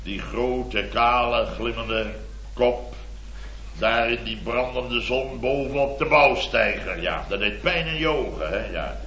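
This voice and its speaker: Blustering, bright-voiced boss. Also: male voice, mid-range voice, slow, a little hiss or hum. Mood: nostalgic, excitable. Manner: rambling, stammering, repeats himself. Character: flustered, blustering middle-aged male boss of building firm. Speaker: actor in a radio comedy serial. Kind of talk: 1970s Dutch radio comedy acting. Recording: dull, roomy dated radio recording.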